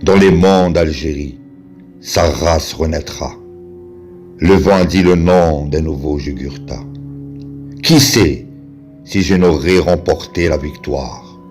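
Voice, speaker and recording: Male poetry reciter, very low voice, solemn and declamatory, unhurried, over music. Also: darkish tone. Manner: slow, measured, declamatory delivery with pauses.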